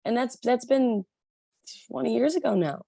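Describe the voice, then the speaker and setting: A woman from the Detroit area, a rock singer with a low voice, chatting loosely in an interview with many restarts. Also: normal speed, vocal fry, mellow, dry recording, studio quality. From Detroit area, USA. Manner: rambling, many restarts and fillers. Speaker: rock band lead singer, guest. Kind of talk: casual interview chat.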